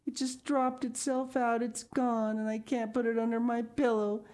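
A woman voicing a small, curious and eager girl in a high, excited child voice. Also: measured pace.

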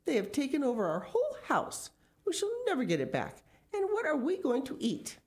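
A woman reading the part of an excitable, fussing mother in a children's story, exclaiming dramatically. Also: fairly low pitch, moderate pace, voice pushed up. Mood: dismayed.

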